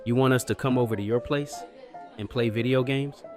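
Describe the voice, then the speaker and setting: A man's voice: medium pitch, fairly even intonation, brisk, a little mellow, soft background music, close to an everyday voice. A man playing a shy, politely curious newcomer in an audio drama. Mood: curious, puzzled. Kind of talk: fan audio drama acting.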